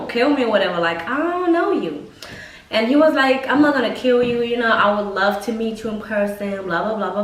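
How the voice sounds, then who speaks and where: A young woman casually telling personal stories to camera in a blunt, expressive, slangy way with plenty of swearing. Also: mid-range voice. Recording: echoey room, mic at a distance.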